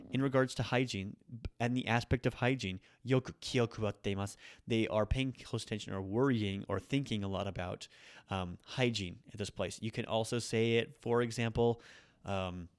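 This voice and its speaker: A man with a lowish voice hosting a laid-back podcast lesson on Japanese grammar. He often corrects himself and drops Japanese phrases into his explanations. He sounds like a native English speaker. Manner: relaxed, self-correcting, mixes in Japanese phrases. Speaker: podcast host teaching Japanese. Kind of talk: casual spoken grammar lesson.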